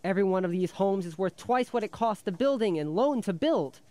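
A sardonic hireling in a radio drama. His voice sits fairly high, and he reels off frank, needling patter with a wry edge. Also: expressive, a little mellow. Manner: sardonic, fast-patter monologue. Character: wry, frank hireling rent collector, slyly needling boss.

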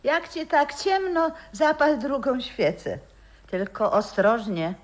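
An actress playing a gentle, nostalgic old grandmother, once a singer, in a radio play. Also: sits high, very animated, slow pace, full character voice. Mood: gently wry.

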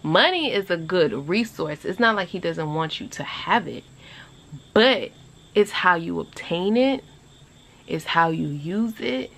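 A woman in her twenties chatting casually to a phone camera on an outdoor porch, animated and rambling. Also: unhurried. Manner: animated, chatty, rambling with lively pitch jumps. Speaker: vlogger. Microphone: phone camera at arm's length.

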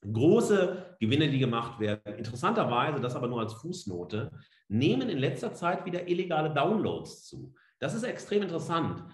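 A man's voice: fairly high pitch, sing-song, normal speed. A man giving an explanatory slide lecture as a professor, speaking emphatically with asides. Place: indoor room, seated on camera. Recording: roomy, echoing indoor sound.

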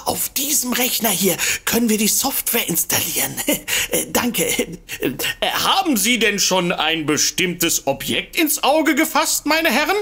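An actress playing a nervous, obliging office assistant in a comedic audio drama, flustered and hesitant. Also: female voice, medium pitch, sing-song, unhurried, fairly bright tone, breathy edge, heavily acted.

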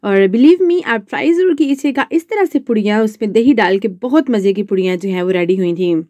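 A woman's voice: medium pitch, lively intonation, fairly quick, dark tone, no echo. A woman, chatting politely through a home cooking video as a mother and vlogger. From Pakistan. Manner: chatty, polite with frequent "janab" and "ji". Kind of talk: casual cooking voice-over.

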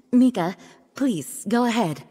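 A woman's voice: fairly high pitch, animated, extremely slow, darkish tone, breathy edge, full character voice. A woman voicing a dignified young female knight commander in a video game, formal and poised. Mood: formal, commanding. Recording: long hall reverb.

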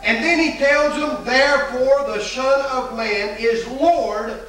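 A man's voice: very high voice, slow, a bit bright. Older man preaching in an echoey church. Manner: folksy, repetitive, emphatic preaching with filler uhs. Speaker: pastor. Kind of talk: sermon. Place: large church sanctuary at a pulpit. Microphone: pulpit microphone, far camera. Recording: echoey church hall from far off, hissy 1980s video.

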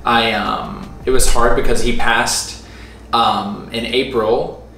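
A man's voice: medium pitch, a bit bright, recorded from a distance, slight background noise. Joking young man in a roomy living room. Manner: joking, rambling, self-mocking. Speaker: vlogger. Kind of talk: casual couple vlog chat. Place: home living room, indoors. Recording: roomy echo with faint music.

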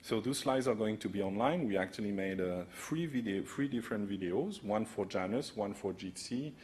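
A man's voice: on the low side, expressive, fairly quick, darkish tone, slight background noise. A man in his forties giving a technical conference talk at a podium, explaining casually and often checking in with "right?". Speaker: conference speaker. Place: conference room, large indoor hall. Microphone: podium microphone.

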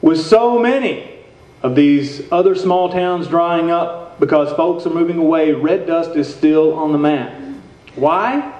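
A man's voice: on the high side, measured pace, a little mellow, close to an everyday voice. A man on stage playing a folksy, sincere small-town radio host, reading in old-time radio show style. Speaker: radio announcer. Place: indoor theater stage. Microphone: stand mic, heard through far camera. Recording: echoey stage hall, distant muffled audio.

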